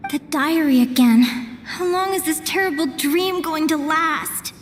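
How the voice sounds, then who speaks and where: A woman playing a sweet, wistful young woman in a video game, gentle and hesitant in her delivery. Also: high-pitched, a little slow, a little hiss or hum. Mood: wistful, weary. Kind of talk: video game story voice acting.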